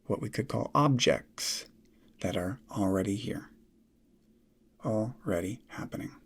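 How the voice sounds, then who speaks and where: A man guiding a meditation in soft, breathy, measured short phrases with long pauses, often trailing into a near whisper. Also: on the low side. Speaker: meditation guide. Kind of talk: guided meditation, slow instruction.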